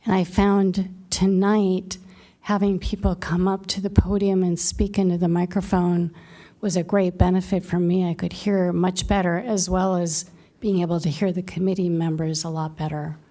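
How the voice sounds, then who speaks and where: A woman with a very soft voice, a committee member speaking earnestly and carefully at a table microphone. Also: lowish voice, flat delivery, normal speed. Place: city meeting room.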